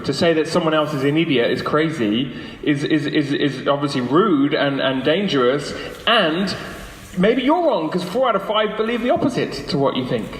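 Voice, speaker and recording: A man speaking persuasively as a guest lecturer to a live audience, using rhetorical questions and emphatic phrasing. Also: fairly high pitch. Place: large echoey room, lunchtime public talk. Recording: echoey hall with long reverb.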